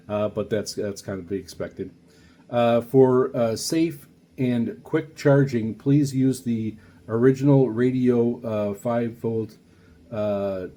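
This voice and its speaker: A man hosting a casual product unboxing, reading aloud and commenting in a halting way with frequent uhs and pauses. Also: lowish voice, dark tone. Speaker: radio hobbyist reviewer, video host.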